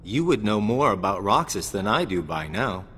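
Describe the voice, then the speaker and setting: A man's voice: on the low side, sing-song, normal speed, fairly bright tone. A man voicing an aloof, weary young man in a video game, talking in a cool, pointed, probing way. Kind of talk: video game cutscene voice acting. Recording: long reverb, faint background noise.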